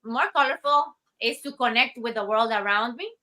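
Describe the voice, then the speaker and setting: Bright-voiced Costa Rican woman, thoughtful. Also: on the high side, lively intonation, moderate pace. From Costa Rica. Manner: thoughtful, hesitant with many fillers and restarts. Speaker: guest artist. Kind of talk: interview conversation.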